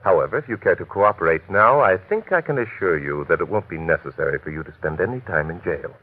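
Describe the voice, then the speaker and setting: An actor playing a shrewd, politely commanding detective in a 1940s radio drama. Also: male voice, deep voice, expressive, fast, slightly rough. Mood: shrewd pressure. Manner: polite, formal, authoritative. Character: shrewd, commanding amateur detective questioning suspects. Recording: muffled old radio recording.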